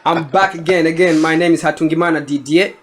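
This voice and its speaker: Male guest, East African accent, higher-than-average pitch, loose conversational style. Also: fairly even intonation, quick pace. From East Africa, born in Burundi, grew up in Tanzania. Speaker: returning podcast guest. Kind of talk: casual chat.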